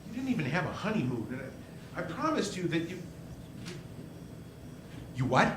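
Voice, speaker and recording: A highish, swooping male voice , acted by a man, for an earnest young husband in a radio play. He stammers and repeats himself, flustered and hot-headed. The room echoes around him. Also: very animated. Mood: anxious, astonished. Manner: stammering, halting, emphatic. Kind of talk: live 1940s-style radio play acting. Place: live stage performance. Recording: roomy stage sound, faint noise.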